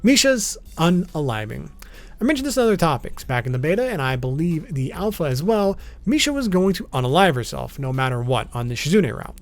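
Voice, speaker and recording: A man's voice: a little high, big pitch swings, brisk. A man narrating an explainer video as its host, in an informal, matter-of-fact way, with music behind him. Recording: background music under voice.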